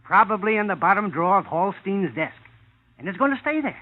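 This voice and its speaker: A man's voice: sits high, sing-song, a little fast, a little hiss or hum. A man with an American accent playing a blunt, exasperated showbiz agent in an old-time radio drama, slangy and complaining. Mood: dismissive. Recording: muffled vintage radio recording.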